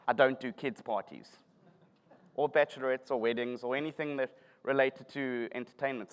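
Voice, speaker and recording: A man's voice: fairly high pitch, fairly bright tone, clear, resonant tone. A middle-aged man with a South African accent giving a self-deprecating, joking conference talk. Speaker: conference speaker. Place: conference hall. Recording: long hall reverb.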